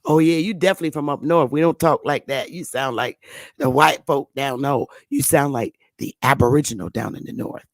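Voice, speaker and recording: A woman voicing a nosy, chatty Southern woman who talks in a high, sing-song drawl. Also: fairly quick, no room sound, clean studio sound. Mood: knowing. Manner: gushing and familiar.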